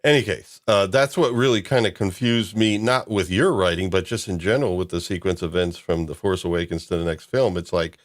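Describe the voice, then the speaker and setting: Male podcast host, very low voice, casual conversational delivery. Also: brisk, hardly any pauses. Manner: chuckles while talking. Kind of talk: casual chat about a fan audio drama.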